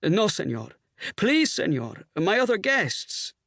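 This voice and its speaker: A man's voice: high-pitched, sing-song, slow, vocal fry, fairly bright tone, dry recording, clean studio sound, pitched above the performer's own voice, full character voice. A man voicing an anxious, polite hotel maitre d' who pleads with his guests. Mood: pleading.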